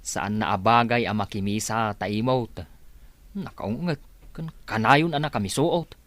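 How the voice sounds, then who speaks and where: A man's voice: lowish voice, unhurried, fairly bright tone, dry recording. A man acting a gossipy, disapproving household worker in a radio drama. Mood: gossipy, mocking.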